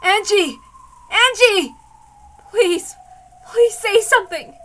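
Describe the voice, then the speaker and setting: A woman voicing a desperate, tearful parent, pleading loudly with a child. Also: very high voice, slow, pitched above the performer's own voice, full character voice. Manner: loud, pleading cries.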